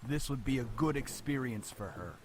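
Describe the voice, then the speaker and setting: A man's voice: medium pitch, animated, normal speed, faint music behind, lightly acted. An actor playing a cold, disdainful businessman father in a visual novel game. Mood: brisk. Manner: stern, disdainful. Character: cold, bitter businessman father of a teenage girl.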